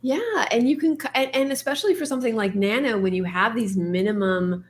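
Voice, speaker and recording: A woman hosting a writing stream, talking casually with many fillers and laughs, her voice going hoarse. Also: on the high side, brisk, a little hiss or hum. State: losing her voice. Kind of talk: casual chat.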